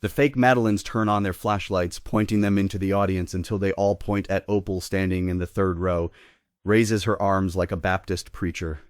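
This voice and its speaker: A man's voice: deep voice, fairly even intonation, normal speed, dry recording, clean studio sound. A man giving scripted narration, reading the stage directions at a table read.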